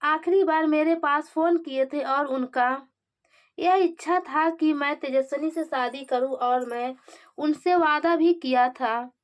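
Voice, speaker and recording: An earnest young man, voiced by a woman narrator speaks in a high pitch. He is determined to honor a promise, in a serial drama retelling. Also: measured pace. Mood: earnest, insistent.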